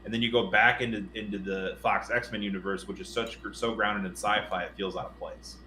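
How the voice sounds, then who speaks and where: A man's voice: lowish voice, little pitch movement, brisk. A man co-hosting a casual podcast, rambling emphatically and often stopping to rephrase mid-thought. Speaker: podcast co-host. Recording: roomy echo, faint noise.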